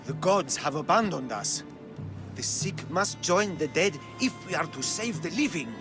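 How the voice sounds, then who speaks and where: An actor playing a stern, fanatical priest, solemn and ominous, in a dramatic game cutscene. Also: male voice, fairly high pitch, animated, a little slow, over music. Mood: solemn justification. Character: stern, fanatical male priest, grimly resolved.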